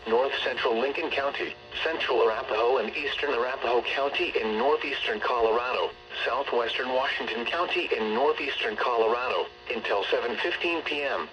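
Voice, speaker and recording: A synthetic male text-to-speech voice reading scripted emergency weather bulletins over the radio in a formal, measured way. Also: medium pitch, muffled recording, background noise. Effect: weather radio broadcast. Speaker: automated weather radio announcer.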